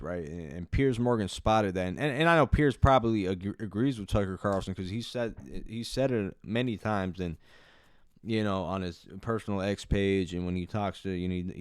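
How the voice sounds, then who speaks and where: A man's voice: low-pitched, clean tone, no room sound, clean studio sound. A man hosting a reaction video, talking in loose, profane, rambling commentary with lots of restarts. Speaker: commentary channel host.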